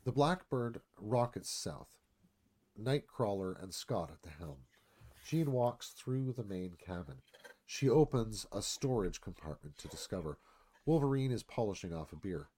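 A man's voice: lowish voice, big pitch swings, measured pace, dark tone, husky. A man narrating stage directions from a screenplay in a live scripted read.

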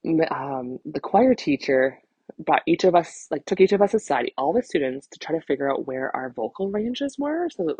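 A woman's voice: low voice, very animated, normal speed, warm tone, husky, no room sound. A woman in her thirties hosting a casual solo podcast, rambling candidly and self-deprecatingly, swearing freely. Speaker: podcast host, vocal empowerment coach.

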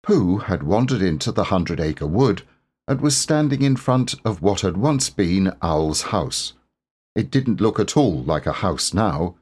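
A man reading a children's story aloud as an audiobook narrator, expressive and measured in his storytelling. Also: low voice, breathy edge.

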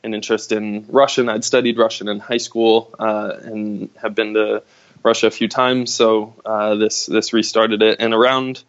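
A man chatting casually in a conversation, talking haltingly with many fillers and restarts. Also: lowish voice, flat delivery, no echo. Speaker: guest. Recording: dull lo-fi sound.

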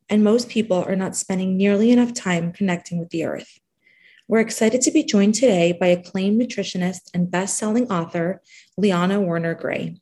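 A woman narrating a scripted podcast introduction in a measured way. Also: medium pitch, darkish tone, slightly rough. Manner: measured, reading a guest introduction.

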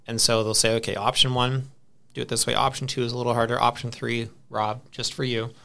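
A man in his thirties with noticeable vocal fry and a middling pitch. He talks as a guest in a relaxed, casual conversation, offering personal anecdotes with a self-deprecating streak. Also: fairly quick. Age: 30s. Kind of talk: casual chat.

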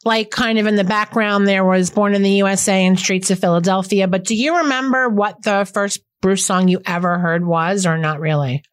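A woman from New Jersey hosts a podcast, asking her guest friendly, straightforward questions. Her voice sits at an ordinary pitch. The sound is dry and close to the mic. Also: normal speed, hardly any pauses, clean tone, no echo. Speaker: podcast host. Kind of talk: casual podcast interview.

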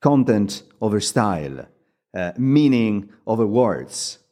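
A man's voice: on the low side, sing-song, takes it slowly, dark tone. A man hosting an interview show who speaks reflectively, with hesitant uhs. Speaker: podcast host. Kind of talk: interview conversation.

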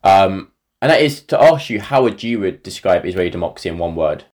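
A man's voice: low voice, expressive, fairly quick, a little mellow. A man hosting a podcast, introducing topics and putting questions to guests. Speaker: podcast host.

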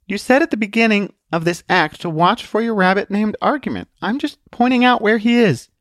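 A man voicing an excitable, pedantic young female character in a storybook, insistent in manner. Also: high voice, fairly quick, dry recording, studio quality, pitched above the performer's own voice. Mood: excited, insistent.

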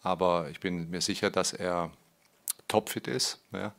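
A club director answers reporters in a loose, rambling way, restarting sentences and filling gaps with "ja". His voice is low and has a gravelly edge. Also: fairly even intonation, a little slow, fairly bright tone. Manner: rambling, restarting, frequent "ja" and fillers. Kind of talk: press conference answers.

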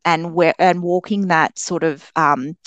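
Lower-pitched Australian woman, chatty podcast guest. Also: steady tone, unhurried, no echo, dull audio. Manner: enthusiastic, chatty. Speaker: guest author on a book podcast. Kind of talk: casual book discussion.

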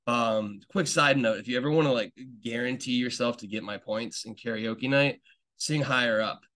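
Young man, podcast host, casual rambling monologue, lots of fillers, mid-pitched voice, eager fan talk. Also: moderate pace, sharp tone. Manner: chatty, rambling, many fillers and restarts.

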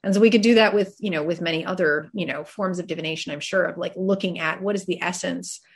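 A woman's voice: on the low side, steady tone, quick pace, husky. A woman hosting a casual discussion, talking in a reflective, conversational way. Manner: reflective, conversational, light laughs.

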